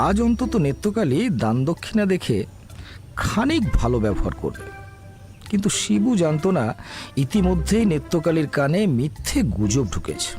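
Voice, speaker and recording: A male narrator telling a village tale with expressive storyteller delivery. His pitch moves lively around a somewhat raised voice, and background music plays clearly under him.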